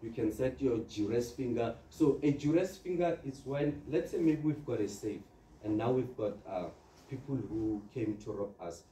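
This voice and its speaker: A man's voice: medium pitch, expressive, moderate pace. A man giving an informal training presentation on products, explaining step by step with everyday scenarios. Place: indoor presentation room with large screen. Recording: dark, echoey, distant room recording with noise.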